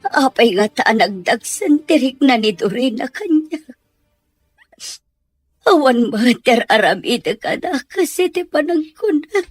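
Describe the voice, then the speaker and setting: Meek, kindly Filipino housemaid for audio drama, played by a woman: tired, raised pitch, unhurried, close dry sound. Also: expressive, slightly rough, no room sound, soft background music, exaggerated voice. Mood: weary, gentle. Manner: submissive, gentle. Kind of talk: amateur audio drama acting.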